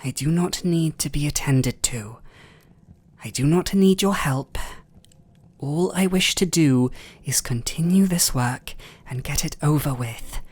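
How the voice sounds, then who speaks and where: A man playing a noble, restrained vampire lord in an intimate ASMR roleplay, with a British accent and formal, hesitant, breathy delivery. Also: a little high, unhurried, a little mellow, no echo. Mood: curt, strained. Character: noble vampire lord, restrained, tormented, tender toward servant.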